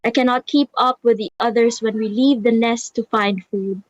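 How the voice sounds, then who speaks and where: A woman playing a tired, aging ant who guides the young, in a slow, reflective dramatic reading. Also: fairly high pitch, steady tone, dry recording, lightly acted, poor audio. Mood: weary, troubled. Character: weary aging female ant, slowing down, guiding the young. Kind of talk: dramatic reading of a stage play.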